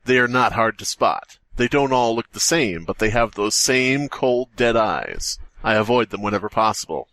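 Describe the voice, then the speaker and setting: A man's voice: on the low side, lively intonation, normal speed, few pauses, a bit bright, dry recording, low-quality recording. A man co-hosting a podcast, joking and riffing as he chats and reads a story aloud. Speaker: podcast co-host. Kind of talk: casual comic chat and story reading.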